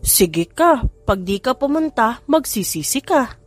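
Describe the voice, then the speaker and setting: A woman's voice: a little high, very animated, measured pace, faint music behind, voice pushed up. A woman voicing a teasing, bossy, wealthy grandmother in an audiobook story. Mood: teasing, insistent.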